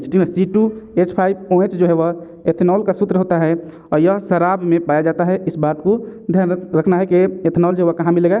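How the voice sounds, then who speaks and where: A man teaching exam questions and answers in a didactic way, repeating key points as reminders. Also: sits high. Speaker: exam-prep chemistry teacher. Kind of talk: question-and-answer lesson narration. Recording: narrow, tinny phone-like sound.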